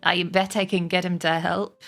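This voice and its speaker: A woman voicing an old, shrewd barnyard sheep who speaks with measured authority in a storybook. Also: on the low side, little pitch movement, creaky, fairly bright tone, soft background music. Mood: matter-of-fact, scheming. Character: oldest sheep, wise, shrewd, persuasive barnyard elder.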